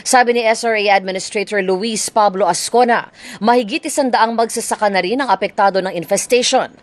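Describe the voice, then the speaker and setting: A woman's voice: medium pitch, brisk. A woman with a Filipino accent reading scripted news as a radio anchor. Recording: music bed behind voice. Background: music.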